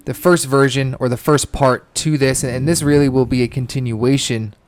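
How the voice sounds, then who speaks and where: A man's voice: mid-range voice, fairly even intonation, moderate pace, no echo. A man who co-hosts a podcast, opening the episode with an upbeat introduction and prompting his guest. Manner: upbeat intro, then short back-channel replies. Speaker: co-host. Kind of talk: podcast hosting and interviewing.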